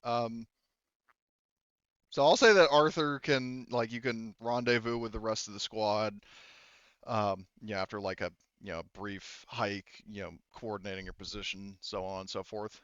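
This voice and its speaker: A man running a tabletop game as game master, talking casually and haltingly and swearing freely. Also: fairly low pitch, steady tone, fairly bright tone, clean tone, no room sound, muffled recording.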